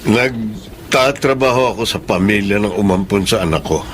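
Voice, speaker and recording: A man's voice: fairly low pitch, moderate pace, a bit bright, close to an everyday voice. An actor with a Filipino accent playing a humble, earnest, emotional father who works as a driver in a radio drama. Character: humble grieving father working as a family driver. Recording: noisy radio drama recording.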